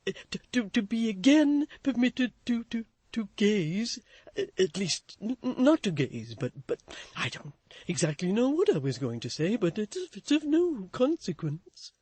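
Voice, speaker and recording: A woman voicing an awkward young gentleman who stammers and loses his words. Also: medium pitch, animated, slow, dry recording, voice pushed up. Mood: flustered.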